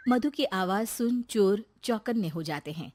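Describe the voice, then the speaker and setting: A woman's voice: medium pitch, lively intonation, unhurried, loud music behind the voice. A woman reading a scripted comic family story as its narrator.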